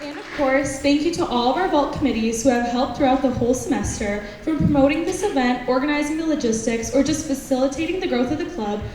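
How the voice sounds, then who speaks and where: A high-voiced young woman reads a prepared thank-you speech. Long, heavy reverb surrounds her voice, which is picked up from a distance. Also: animated, fast talker, few pauses, darkish tone. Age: young adult. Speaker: student club speaker at an event. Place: large indoor event hall. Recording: long hall reverb, distant, faint noise.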